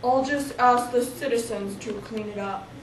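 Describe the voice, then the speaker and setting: Girl playing a calculating Soviet leader, high voice. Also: normal speed, close to an everyday voice. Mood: cool, calculating. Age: young teen. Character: calculating male Soviet leader downplaying a disaster. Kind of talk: amateur school drama. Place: small library office. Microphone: far camera. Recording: echoey room, distant camera mic, noise.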